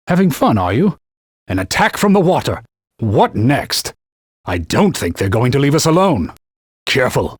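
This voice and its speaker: An actor playing a stern, proud talking sword who guides a hero with formal, old-fashioned speech in a video game. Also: male voice, on the high side, slightly rough, dry recording, clean studio sound, full character voice. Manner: formal, stern, archaic phrasing. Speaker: sword companion giving gameplay hints. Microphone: dry studio booth.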